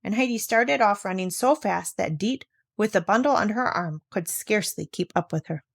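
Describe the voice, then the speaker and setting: A woman reading a children's classic aloud as expressive scripted narration. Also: mid-range voice, normal speed, gravelly, no echo, studio quality. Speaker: audiobook reader.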